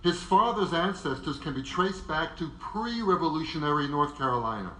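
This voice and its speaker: A man's voice: a little high, expressive, normal speed, bright, forceful tone. A man presenting a semi-scripted biographical narration in a conversational way, with wry asides. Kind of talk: semi-scripted narration before a live audience. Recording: echoey live room.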